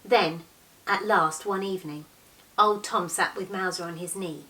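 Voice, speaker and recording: A woman in her thirties, a teacher, reading a picture book aloud expressively with measured, deliberate storytelling phrasing. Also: fairly low pitch, steady tone, sharp tone. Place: small living room, indoors, on a sofa. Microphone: camera a few metres away. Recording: distant microphone in a living room.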